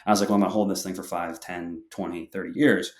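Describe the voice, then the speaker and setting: A man's voice: low voice, steady tone, fast, reverberant. A young man explaining his views as a podcast guest, often restarting his sentences as he works through a point. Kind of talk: interview answers.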